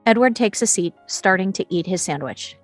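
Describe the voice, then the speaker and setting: A woman reading screenplay action lines as scripted narration, in a storytelling way with short pauses. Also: mid-range voice, expressive, faint music behind. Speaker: stage directions reader.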